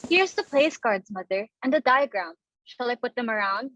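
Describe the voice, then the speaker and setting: A woman's voice: a little high, animated, normal speed, fairly bright tone, a little hiss or hum. A woman playing a timid, reluctant young society daughter with a plaintive manner, recorded on a dull microphone. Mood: dutiful.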